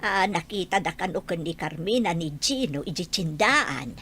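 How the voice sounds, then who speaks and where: A woman's voice: lowish voice, expressive, normal speed, fairly bright tone, slightly rough. A woman playing an anxious, devoted old mother in an audio drama, pleading plaintively with an Ilocano Filipino accent. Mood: worried, pleading.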